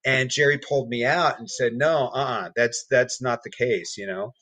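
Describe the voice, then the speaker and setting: A man, a podcast guest, giving a personal account in casual conversation, halting, with many repeated words and restarts. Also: mid-range voice, bright, forceful tone, no room sound.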